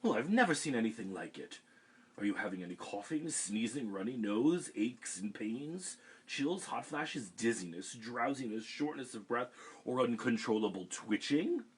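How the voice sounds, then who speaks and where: Fussy storybook doctor, raised and quick, rattles off lists — a man acts him, husky. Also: medium pitch, animated, poor audio. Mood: bustling. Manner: rapid-fire symptom list, bustling. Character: brisk fussy male country doctor.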